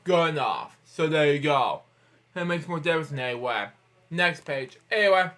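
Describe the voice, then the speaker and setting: A man hosting an informal bedtime story read-aloud, speaking in a halting, repetitive way with frequent interjections and asides. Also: a little high, animated, sharp tone.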